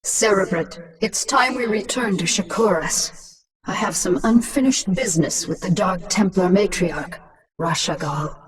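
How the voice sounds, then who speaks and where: A woman acts out a ruthless video game queen of an alien swarm. She is imperious and scornful, speaking deliberately at a middling pitch. The voice sits back from the mic with a clear battle din behind it. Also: distant mic, a crowd in the background, natural voice. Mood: commanding.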